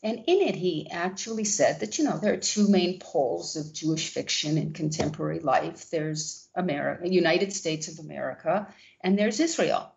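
A woman who founded a literary journal answering interview questions, talking gently with many restarts. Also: low-pitched, lively intonation, moderate pace, vocal fry, muffled recording, background noise.

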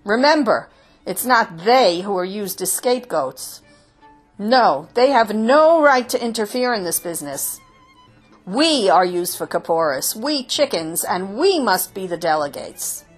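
A woman voicing a fiery, combative red rooster who shouts his speech to the other fowl. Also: big pitch swings, measured pace, faint music behind. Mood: indignant. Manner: shrill, fierce crowing shouts.

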